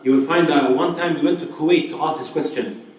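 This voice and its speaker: A man with a fairly high voice gives a relaxed, conversational sermon, often asking his listeners questions. He is some way from the mic, and his words bounce around a large, very reverberant room. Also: a little fast. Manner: conversational, asks the audience rhetorical questions. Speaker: preacher. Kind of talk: informal Islamic talk to a live audience. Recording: very echoey hall, voice from far off.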